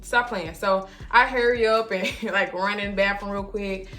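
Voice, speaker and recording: A young woman telling a casual story to viewers in an animated way, acting out her own reactions and quotes as she goes. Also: mid-range voice, normal speed, faint music behind. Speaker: vlogger.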